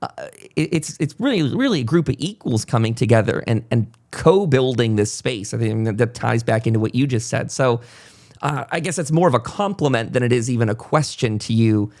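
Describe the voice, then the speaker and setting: A man speaks as a podcast host at a middling pitch. He talks in a loose, conversational interview style, effusive and wandering as he goes. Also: lively intonation, a little fast, darkish tone.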